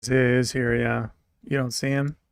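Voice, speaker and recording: Gravelly-voiced man chatting deadpan. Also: mid-range voice, moderate pace, no echo, clean studio sound. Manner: joking, deadpan, talks straight to chat. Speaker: livestreamer reading chat messages. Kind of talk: casual livestream chat.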